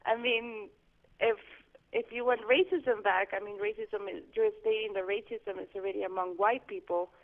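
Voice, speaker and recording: A woman's voice: medium pitch, steady tone. A woman calling in over a phone line. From Guatemala. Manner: earnest, halting, restarts often. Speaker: caller. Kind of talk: call-in radio chat. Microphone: home landline phone.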